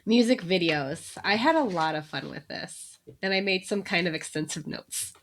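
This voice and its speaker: A woman's voice: lowish voice, expressive, moderate pace, a bit bright, slight background noise. A woman co-hosting a podcast in casual chat, talking easily and laughing often between remarks. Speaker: podcast co-host.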